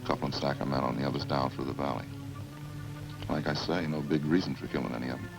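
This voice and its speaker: An actor in an old radio crime drama playing a remorseless drifter who confesses in a flat, casual, unhurried way. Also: male voice, extremely low pitch, creaky, poor audio. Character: matter-of-fact, remorseless male drifter confessing to killings. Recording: old radio recording with music behind.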